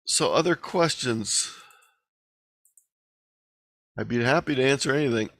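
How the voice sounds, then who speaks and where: A man's voice: mid-range voice, a little slow, fairly bright tone, no room sound, studio quality. A man speaking as a guest presenter in an online meeting, explaining things conversationally and taking questions. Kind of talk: informal presentation and question-and-answer.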